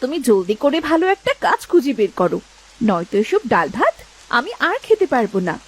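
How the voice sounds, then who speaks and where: A woman's voice: on the high side, big pitch swings, brisk, husky. A woman voicing a nagging, bossy village housewife in a cartoon, commanding and practical. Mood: nagging, cross. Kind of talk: Bengali cartoon dialogue.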